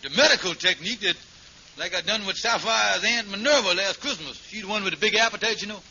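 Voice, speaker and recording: An actor playing a henpecked middle-aged schemer in an old radio sitcom, stammering and mangling big words. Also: male voice, high-pitched, fast, bright, forceful tone, full character voice. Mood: scheming. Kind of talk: 1940s-50s radio sitcom acting. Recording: muffled, very noisy vintage radio recording.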